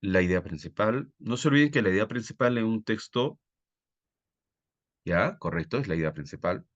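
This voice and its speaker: A man with a fairly low voice and a Peruvian Spanish accent leads a live online lesson. He explains in a didactic way, often asking questions and checking that his students follow. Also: lively intonation, normal speed, lo-fi sound. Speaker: teacher, exam-prep tutor.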